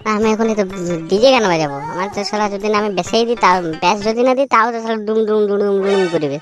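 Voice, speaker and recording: A woman's voice: medium pitch, racing, faint music behind, natural voice. A woman voicing a grumbling rival male village DJ with a Purulia Bengali dialect, muttering and swearing to himself.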